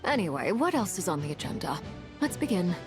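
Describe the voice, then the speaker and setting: An actress playing a composed, guarded woman of rank in a video game, speaking in a measured, formal manner. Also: lowish voice, very animated. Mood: cool, composed. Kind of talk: video game character acting. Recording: background music under the voice.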